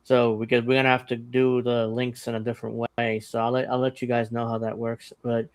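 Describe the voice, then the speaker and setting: This is a man in his thirties hosting a casual news panel. He rambles, backs up and restarts his sentences, and he speaks at an ordinary mid pitch. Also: flat delivery, brisk. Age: 30s. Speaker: podcast host.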